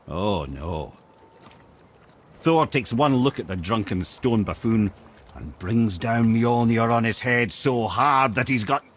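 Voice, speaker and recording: An actor playing a wise, wry old talking head with a Scottish accent, telling tales theatrically in a video game. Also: male voice, on the low side, a bit bright. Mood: wry, dramatic. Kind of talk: dramatic storytelling in a video game. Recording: game audio with clear water and boat noise.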